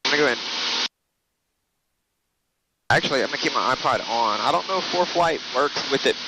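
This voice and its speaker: A man's voice: mid-range voice, expressive, rapid-fire. A man giving casual running commentary as a pilot, explaining each step informally from the noisy cockpit of a small airplane. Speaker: pilot narrating flight. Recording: noisy small aircraft cockpit, engine drone.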